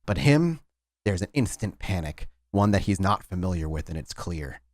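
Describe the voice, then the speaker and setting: A man's voice: low-pitched, very animated, moderate pace, darkish tone, dry recording. A man narrating a tabletop horror game as storyteller, in dramatic style.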